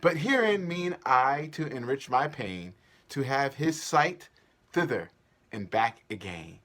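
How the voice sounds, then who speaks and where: A man's voice: medium pitch, lively intonation, slow pace, fairly bright tone. A man playing a lovelorn, pining young Athenian woman, declaiming wistfully in a Shakespeare soliloquy.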